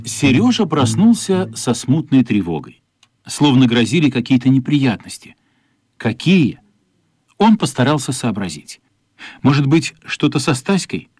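A man's voice: mid-range voice, sing-song, measured pace, darkish tone, breathy edge, no room sound, studio quality. A man narrating a radio play as a scripted story.

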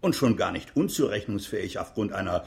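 An actor playing a stern, accusing prosecutor in a radio courtroom drama, speaking formally with cutting emphasis. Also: male voice, fairly low pitch, animated, a little fast, creaky, a little mellow.

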